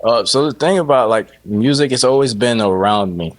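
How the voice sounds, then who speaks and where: A man's voice: medium pitch, moderate pace. A man from Haiti, a DJ guest, talking in an easygoing way with short answers and lots of "you know" and "man". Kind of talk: casual interview chat.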